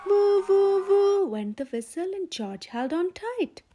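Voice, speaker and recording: Woman, children's storyteller, high mellow voice, expressive read-aloud delivery, emphatic phrasing. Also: slow, a little hiss or hum. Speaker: storyteller, channel host.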